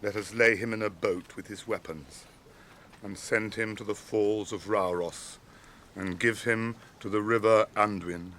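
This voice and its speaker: An actor playing a noble, grieving ranger leader in a radio drama, speaking in a grave, measured, theatrical way. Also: male voice, fairly low pitch, steady tone, a bit bright, slight background noise. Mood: grave, resolute. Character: noble, grieving male ranger leader, weary and self-blaming.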